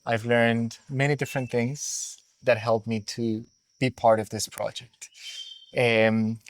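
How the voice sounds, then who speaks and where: A middle-aged Colombian man speaking English as a second language, his accent plain as he reflects on the path that led him to run a school. His voice sits at an ordinary pitch and sounds close and dry, with no room around it. He talks in a thoughtful, storytelling way, pausing often with ums. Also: level tone, no echo. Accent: Colombian Spanish. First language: Spanish. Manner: reflective storytelling with many fillers. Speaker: school director, interview guest. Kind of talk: conversational interview.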